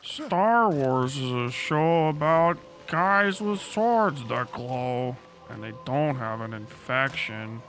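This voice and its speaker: A man's voice: medium pitch, expressive, slow, few pauses, a bit bright. A man giving casual, crude and sardonic commentary while livestreaming a video game. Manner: crude, heavy swearing, sardonic asides. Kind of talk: casual gaming stream commentary. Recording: game music behind the voice. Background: video game music.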